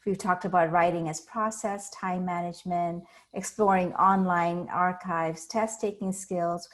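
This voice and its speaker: A woman's voice: on the low side, fairly even intonation, unhurried. A woman from India with an Indian English accent, presenting online in an upbeat, inviting way. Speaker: learning center staff.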